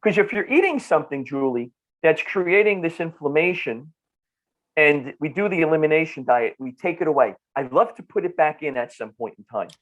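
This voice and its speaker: A man's voice: fairly high pitch, animated, normal speed, fairly bright tone, rough recording. A middle-aged man with a New Jersey accent explains things conversationally and emphatically, repeating phrases and posing rhetorical questions. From northern New Jersey, United States. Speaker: nutrition clinician, podcast guest.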